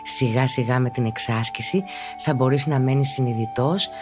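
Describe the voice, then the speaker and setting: A woman speaking as a yoga teacher and podcast host, guiding a meditation in a calm, instructive, deliberate way. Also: a bass voice, over music. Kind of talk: guided meditation narration.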